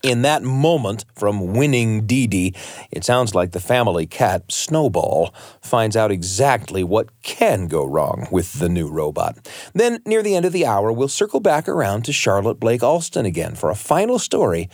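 Animated, folksy male radio host. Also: on the low side, moderate pace, no room sound. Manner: folksy, storyteller-like, addresses listeners as friends. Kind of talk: scripted, playful radio narration. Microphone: close studio microphone. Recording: dry studio with music underneath.

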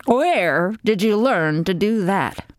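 A woman's voice: mid-range voice, lively intonation, slow pace, dry recording, studio quality. A woman doing a stern scolding mother frog. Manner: scolding, emphatic.

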